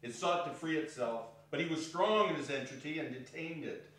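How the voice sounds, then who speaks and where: A man's voice: a little high, animated, moderate pace, fairly bright tone. Echoey, distant male storyteller on stage. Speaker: narrator. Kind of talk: dramatic scripted narration on stage. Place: arts centre hall, stage reading. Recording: very echoey hall, distant, faint noise.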